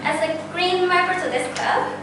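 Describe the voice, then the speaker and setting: A young woman delivers a prepared speech in a high voice. She sounds earnest and orderly in her phrasing. The recording is heavily echoey and picked up from a distance, with clear background noise. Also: moderate pace. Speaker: speaking club member giving a speech. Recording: echoey room, distant mic, noisy background.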